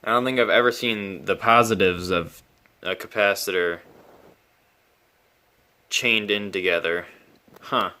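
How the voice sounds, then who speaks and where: Slow-talking man with a bright, low voice. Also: fairly even intonation. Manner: thinking aloud, musing and puzzled, halting. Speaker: hobbyist repairing a vintage radio on video. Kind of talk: casual unscripted workbench commentary.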